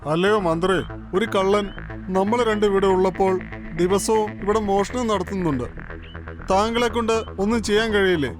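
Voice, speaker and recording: A man voicing a stern king who scolds and gives orders in an animated storybook, over music. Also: high-pitched, moderate pace, close to an everyday voice. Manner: commanding, scolding. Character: stern grown king, commanding. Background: background music.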